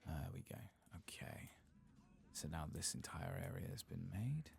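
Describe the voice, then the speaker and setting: A tired man narrates his game in a soft ASMR murmur that often drops to a whisper. His voice is very deep and heavily creaky, with a breathy rasp. The pitch moves about in a lively way as he talks unhurriedly to himself. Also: unhurried, slight background noise. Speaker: ASMR gaming streamer.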